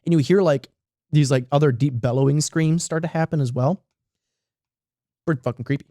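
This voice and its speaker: A man's voice: a little high, fairly quick, warm tone, no echo, studio quality. A man hosting a podcast, retelling a story casually with plenty of slang and swearing, acting out the dialogue. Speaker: podcast host retelling a horror series. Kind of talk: casual comedic retelling with loose acted-out dialogue.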